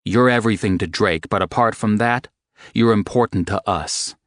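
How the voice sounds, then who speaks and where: A man voicing a protective young man who is gentle but swears freely. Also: moderate pace, no echo, studio quality, close to an everyday voice. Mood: tender. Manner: low, gentle, coarse-talking.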